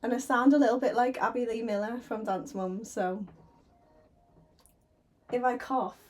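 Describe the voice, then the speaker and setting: A woman's voice: a little high, measured pace. A woman with a sore throat, chatting and giggling nervously as she streams herself painting. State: sore throat, slightly hoarse. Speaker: artist streaming a painting session. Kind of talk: casual livestream chat. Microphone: desk microphone.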